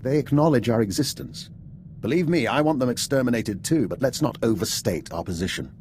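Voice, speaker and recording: A man's voice: mid-range voice, animated, fairly quick, darkish tone, slight background noise, lightly acted. A man playing an urbane intelligence operative in a video game, with a polished, dryly witty manner. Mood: wry.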